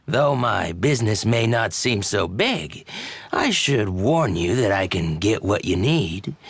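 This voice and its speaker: A sly, shady husky trader. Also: male voice, fairly low pitch, dry recording, exaggerated voice. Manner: slow, conspiratorial, hushed asides. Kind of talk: game voice acting.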